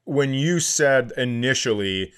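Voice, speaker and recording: A man co-hosting a comedy podcast, telling halting, exaggerated stories in casual comic banter. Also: mid-range voice, animated, fairly bright tone, clear, resonant tone.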